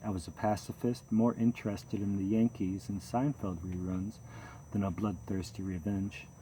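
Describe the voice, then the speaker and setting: A man narrating a first-person memoir audiobook in a measured, steady storytelling manner. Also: on the low side, a little hiss or hum, rough recording.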